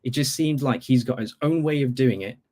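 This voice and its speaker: Man, mid-pitched, from Bangladesh, football fan caller, casual sports talk, conversational asides. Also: fairly quick, a little mellow. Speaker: football fan calling in to a podcast.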